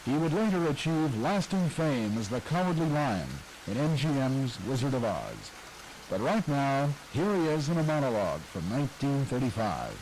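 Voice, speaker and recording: A man giving scripted, measured narration about the history of radio. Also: medium pitch, very animated, darkish tone, slight background noise, rough recording. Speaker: documentary narrator.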